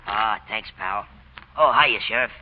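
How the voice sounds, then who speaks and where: A man's voice: lowish voice, a little slow, a little hiss or hum, lightly acted. Actor doing a dim tough-guy prizefighter, very muffled. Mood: boastful. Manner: rough slangy pug talk. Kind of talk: 1940s radio acting. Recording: very muffled old radio recording.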